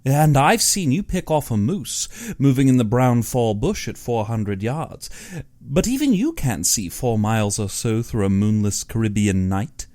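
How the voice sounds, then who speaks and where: A man voicing an uneasy, superstitious big-game hunter in an audiobook, earnest and brooding in his expressive delivery. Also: mid-range voice, a little slow, natural voice. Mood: genial. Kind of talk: audiobook character dialogue.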